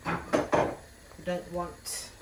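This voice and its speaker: A woman's voice: very slow, very noisy. A woman with a Jamaican accent, talking through a cooking tutorial in her kitchen, with a chatty habit of asking "yeah?" after most phrases. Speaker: home cook. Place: home kitchen.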